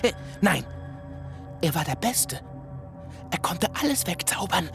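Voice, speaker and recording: Creepy circus clown: nervous, halting and giggly, slow delivery with wild pitch swings, radio drama. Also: male voice, sing-song, gravelly, over music, full character voice. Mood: nervous, evasive. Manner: stammering, giggly.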